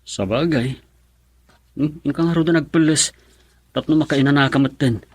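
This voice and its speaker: A man's voice: fairly high pitch, moderate pace, husky, no echo, faint music behind. A man with an Ilocano accent playing a husband and father in a rural family, in a radio drama. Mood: questioning.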